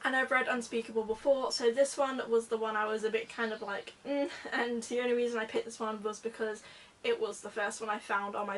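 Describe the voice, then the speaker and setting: A woman's voice: a little high, far from the mic, a little hiss or hum. A woman book vlogger chatting casually to her viewers in a rambling, self-correcting way.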